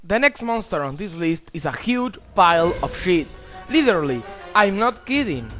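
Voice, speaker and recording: A man's voice: sits high, big pitch swings, normal speed, over music. A young man from Argentina, narrating a countdown video in an emphatic, exclamatory way with crude jokes. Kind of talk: scripted voice-over narration.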